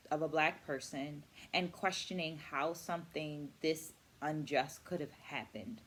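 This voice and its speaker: A middle-aged woman with a somewhat low voice talks through her reflections in a vlog. She phrases things carefully and articulately, leaning on key words like an educator. Some background noise sits behind her voice. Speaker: vlogger and dance educator. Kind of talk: reflective book-review vlog.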